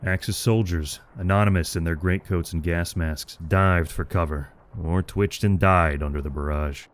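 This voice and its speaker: Low-voiced male war story narrator. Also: fairly even intonation, moderate pace.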